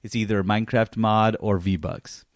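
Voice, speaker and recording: A man's voice: on the low side, level tone, moderate pace, no room sound, studio quality. A middle-aged man chatting casually as the host of a gaming podcast.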